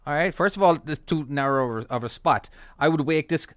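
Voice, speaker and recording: A man's voice: a little high, moderate pace, fairly bright tone, clean tone, no room sound, clean studio sound. A man with an Irish accent hosting his own channel, chatting casually and laughing often while narrating a truck-driving game. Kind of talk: casual gameplay commentary.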